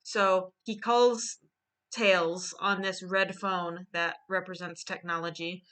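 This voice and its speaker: Bright-voiced woman joking through a story. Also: fairly low pitch, flat delivery, takes it slowly, smooth. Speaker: illustrator presenting her drawings. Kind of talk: casual joking storyboard walkthrough.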